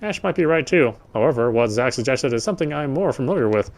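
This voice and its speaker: A man's voice: mid-range voice, expressive, fast talker. A man doing a let's play, reading game text aloud and adding casual, sardonic commentary. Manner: sardonic, informal. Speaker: let's player.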